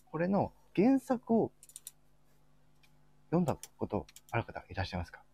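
A man's voice: on the high side, wildly swooping pitch, moderate pace, gravelly, mellow, husky, highs cut off. A man co-hosting a casual livestream, introducing topics in a polite, hesitant way. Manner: polite, hesitant with frequent fillers. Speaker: co-host of a group chat livestream.

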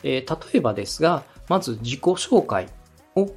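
A man's voice: medium pitch, very animated, moderate pace, darkish tone, breathy edge, faint music behind. A man speaking as a podcast host and director, giving polite, step-by-step feedback and acting out short examples as he explains. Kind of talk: structured coaching talk on a podcast.

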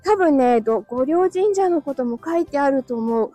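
A woman with a high, light voice hosts a casual livestream while walking outdoors. She is chatty and courteous, greeting viewers and often giggling. Her breath is a little short from the climb after being ill, and faint music sits behind her. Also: lively intonation, normal speed, mellow, no room sound. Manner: chatty, polite, laughs often. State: breathless from walking uphill, recovering from illness. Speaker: livestream host. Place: outdoors, walking on narrow hilly street.

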